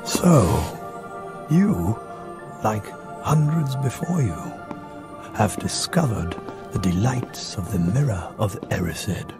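Slow, creaky old wizard. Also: male voice, lowish voice, sing-song, over music, full character voice. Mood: gently knowing. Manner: slow, measured. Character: wise, gentle old male wizard headmaster. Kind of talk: video game voice acting.

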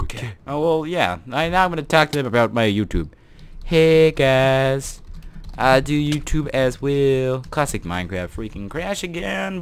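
A young man giving casual, rambling commentary over his own gameplay, joking at himself and breaking into laughing shouts. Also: medium pitch, clear, resonant tone. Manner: rambling, self-mocking, bursts into laughing shouts. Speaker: gaming YouTuber.

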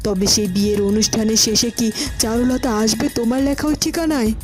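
A woman's voice: on the high side, fairly quick, over music, lightly acted. A woman playing a scheming royal aunt. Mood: questioning.

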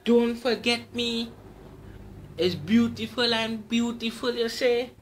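A man's voice: extremely high pitch, fairly even intonation, takes it slowly, a little hiss or hum, pitched above the performer's own voice. A man voicing a seductive female folklore demon with a cow hoof, in a sly, teasing manner. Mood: taunting.

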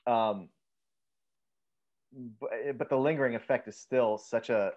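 A man in his thirties chatting casually as a co-host about films, halting and sweary, on a video call from home. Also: medium pitch, a little mellow, highs cut off. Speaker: podcast co-host discussing horror films. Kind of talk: casual chat.

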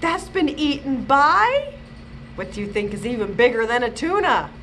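A middle-aged woman hosting a children's storytime in an animated, expressive way, talking to young children. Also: high voice, normal speed. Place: indoors, small library children's room. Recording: noticeable background room noise.

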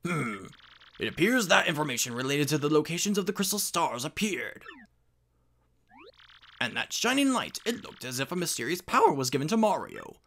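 A man voicing an elderly, pedantic, excitable professor creature in a video game, with a theatrical delivery. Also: a little high, normal speed, gravelly, full character voice. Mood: pedantic explaining.

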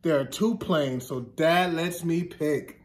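A man in his thirties, a teacher reading a children's picture book aloud in a deliberate sing-song way, stressing repeated words. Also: a little high, a little hiss or hum. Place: classroom, indoors.

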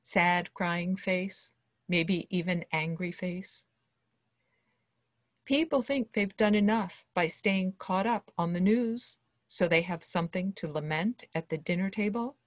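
A woman in her sixties reads a personal text aloud as a guest reader. Her voice sits slightly low for a woman. The sound is dry, with very little room in it, coming through a video call. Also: slow pace, no echo. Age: 60s. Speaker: history professor, guest reader. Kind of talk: introduction and reading of a personal monologue aloud. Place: small dim wood-walled room indoors.

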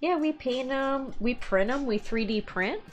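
A woman's voice: high voice, a little slow. Giggly woman streaming over faint music. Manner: chatty, laughs often between remarks. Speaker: livestream co-host and miniature painter. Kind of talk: casual live-stream chat while painting. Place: home hobby room, indoors.

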